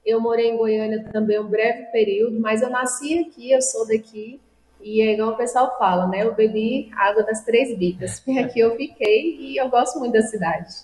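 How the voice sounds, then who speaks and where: A woman's voice: fairly high pitch, normal speed, darkish tone. A young woman, a former TV reporter, answering interview questions in a reflective, conversational way. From Luziânia, Goiás, Brazil. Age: young adult. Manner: reflective, with frequent "e aí" and "assim". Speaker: guest, former TV reporter, communications business owner. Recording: echoey room.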